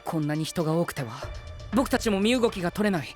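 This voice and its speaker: Earnest princely young knight, very expressive. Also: male voice, high voice, quick pace. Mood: concerned. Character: proper, concerned, princely young male knight. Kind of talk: anime-style voice drama. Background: music.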